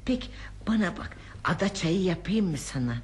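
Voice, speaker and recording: An actress playing a practical older wife in a radio play, brisk, nagging and fussing. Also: female voice, fairly low pitch, animated, slight background noise, close to an everyday voice. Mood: fussing, concerned. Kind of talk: radio theatre acting.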